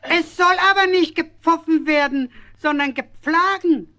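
Very high-voiced, officious little penguin. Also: male voice, a little slow, bright, forceful tone, exaggerated voice. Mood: eager, officious. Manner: officious, eager. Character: small eager, officious male penguin. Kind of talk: children's radio play acting.

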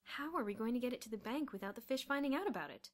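A woman voicing an excitable, bossy schoolboy schemer who exclaims and gives orders in a storybook narration. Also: on the high side, rapid-fire, pitched above the performer's own voice. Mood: scheming. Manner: exclamatory, commanding.

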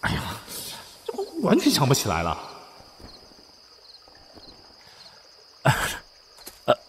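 A man acting in a costume audio drama as a flustered, brash young man posing as a prince, theatrical and quick to protest or mutter asides. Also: medium pitch, huge pitch swings, slight background noise. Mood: panicked, baffled.

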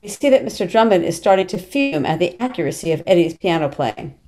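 Middle-aged female narrator for a script read, lowish and soft-toned. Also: expressive, fast talker. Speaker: narrator reading stage directions. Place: small plain room at home, on video call.

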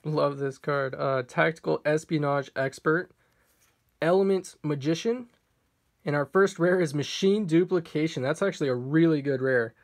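Chill guy opening card packs, slightly high voice, kinda hoarse, calling out names. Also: normal speed. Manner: relaxed, reads card names off one by one. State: slightly hoarse, clearing throat. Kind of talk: casual unboxing commentary. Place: indoors at a table.

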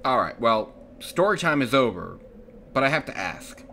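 A man's voice: medium pitch, very animated, normal speed, vocal fry, sharp tone, a little hiss or hum, full character voice. A man voicing a jaded, sarcastic young adventurer in a cartoon parody, with a dry, casual manner. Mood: sardonic.

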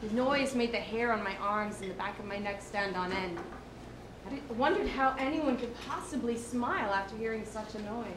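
Roomy, noisy live recording of a female reader, slightly high voice, performing a story dramatically. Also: moderate pace. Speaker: drama student or college staff reader. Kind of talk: dramatic reading of a short story. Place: indoor public venue reading.

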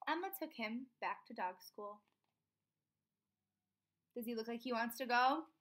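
A woman in her twenties reads a picture book to children. Her voice is high and bright, with animated, swooping intonation. She leans on key words and talks directly to her young listeners. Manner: animated, expressive, emphatic pauses on key words. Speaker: children's storybook reader. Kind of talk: read-aloud storytime with questions to children. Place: small room at home.

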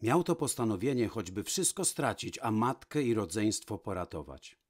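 A man narrating a fairy tale from a script, with measured, dramatic pauses. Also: on the low side, sing-song, darkish tone, slightly rough. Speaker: storyteller, narrator.

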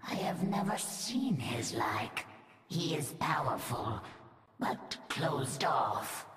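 A woman voicing a fierce, proud alien insectoid brood mother in a video game, imperious and formal, with deliberate delivery. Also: low voice, sing-song, a bit bright, exaggerated voice. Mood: measured, haughty. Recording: echoing, reverberant, slightly distant with music.

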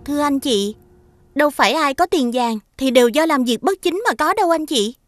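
An honest young wife in a children's cartoon. Her high voice is polite and earnest, recorded dry. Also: animated, brisk, dry recording. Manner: respectful, earnest. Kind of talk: animated fairy-tale voice acting.

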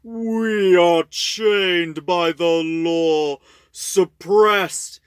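An actor playing a menacing, resentful alien monster leader in a science-fiction audio drama, slow, forceful and declamatory. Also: medium pitch, smooth, no room sound, clean studio sound. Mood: menacing, bitter.

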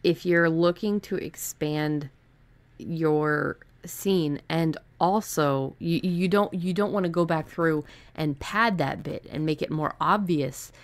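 A woman with a low-pitched voice speaks as a podcast co-host. She explains writing technique in a conversational style. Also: takes it slowly.

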